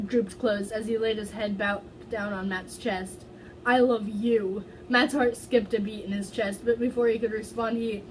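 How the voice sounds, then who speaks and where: A woman's voice: medium pitch, slight background noise, rough recording. A woman doing an amateur dramatic read-aloud, stumbling over words and slipping into giggly casual asides. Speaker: fan fiction reader.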